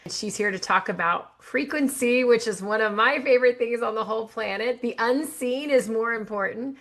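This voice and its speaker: A woman's voice: on the high side, moderate pace, a bit bright. A woman hosting a podcast, introducing her guest with gushing, enthusiastic praise. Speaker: podcast host.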